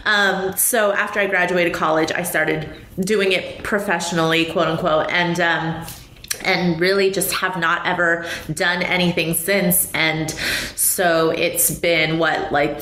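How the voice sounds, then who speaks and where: A woman in her thirties from Taiwan, talking in a relaxed, reflective way with light laughs between thoughts. Her voice sits a little low for a woman. The room around her is echoey. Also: a little hiss or hum. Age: 30s. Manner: chatty and reflective, laughs lightly mid-sentence. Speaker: podcast guest, professional poker player. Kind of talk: casual interview conversation.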